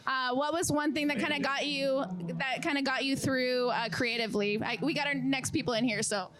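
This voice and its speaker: A woman's voice: high voice, steady tone, brisk. A woman hosting a casual live interview in an informal, chatty way. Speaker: interviewer, show host. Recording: loud music behind the voice. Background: music from a live show.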